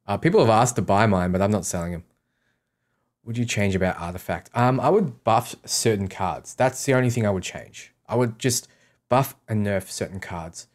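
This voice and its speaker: Low-pitched man answering livestream questions. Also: normal speed. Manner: reads questions aloud, answers offhand with short repeated sentences. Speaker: game streamer answering chat questions. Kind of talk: casual livestream Q&A.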